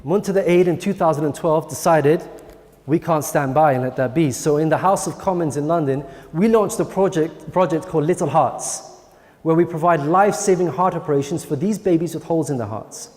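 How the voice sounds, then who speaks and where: Higher-pitched man presenting in a reverberant hall. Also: brisk, a little mellow, soft background music. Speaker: charity speaker. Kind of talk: prepared presentation on a project.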